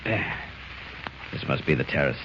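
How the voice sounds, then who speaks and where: Superhero: deep voice, resolute self-narration, muffled vintage serial sound. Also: male voice, expressive, moderate pace. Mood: resolute, searching. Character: determined, heroic male superhero thinking aloud. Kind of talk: 1940s radio acting. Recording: muffled, noisy old radio recording.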